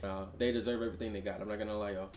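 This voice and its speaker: A man's voice: fairly low pitch, rapid-fire. A young man hosting a reaction video, chatting casually and exclaiming at what he sees. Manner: animated, exclaims loudly at surprises, repeats "I'm not gonna lie".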